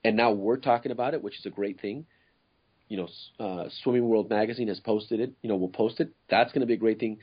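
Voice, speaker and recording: A man from Ecuador, co-hosting a podcast discussion, speaking earnestly and often pausing to search for words. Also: on the low side, husky, highs cut off. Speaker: co-host.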